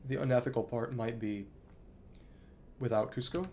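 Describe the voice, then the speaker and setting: A woman's voice: a bass voice, steady tone, normal speed, dark tone, slight background noise. A woman streaming a video game, reading the story text aloud and casually thinking through it as she goes.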